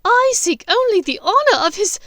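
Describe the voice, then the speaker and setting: A woman voicing a maniacal, imperious dark witch in an audiobook, theatrical, contemptuous and explosive. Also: very high voice, very animated, moderate pace, no echo, clean studio sound, pitched above the performer's own voice, full character voice. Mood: sneering fury. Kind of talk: dramatic audiobook character voice.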